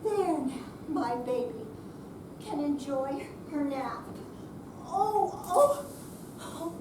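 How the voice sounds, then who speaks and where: A woman's voice: sits high, animated, extremely slow, heavily acted. A woman in an amateur stage reading, playing a stubborn, tired older woman recovering with a cast. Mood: self-reproachful. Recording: roomy, distant, noisy live room recording.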